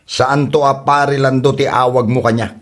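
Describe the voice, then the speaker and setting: A man's voice: medium pitch, level tone, normal speed, close to an everyday voice. A man acting a boastful, cash-strapped village candidate in an Ilocano comedy drama, bragging and wheedling.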